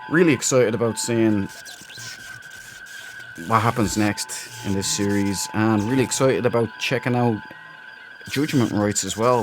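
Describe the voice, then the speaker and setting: A man giving casual commentary as a let's play host, excitable and prone to repeating himself. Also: fairly low pitch, noisy background.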